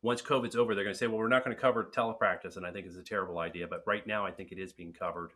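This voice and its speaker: A man's voice: low voice, fairly even intonation, very fast, fairly bright tone. A man answering viewer questions on speech and swallowing, frank and direct, with frequent restarts. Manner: frank, advice-giving, with restarts. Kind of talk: casual webinar Q&A.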